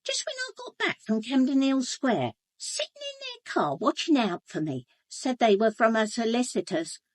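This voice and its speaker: An actress playing a gossipy, blunt English housekeeper in a British radio drama, chatty, colloquial and confiding. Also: female voice, fairly high pitch, sing-song, moderate pace, no room sound. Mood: knowing, gossipy. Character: gossipy, blunt, sharp-eyed housekeeper, a working-class woman. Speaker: actor in a radio murder mystery.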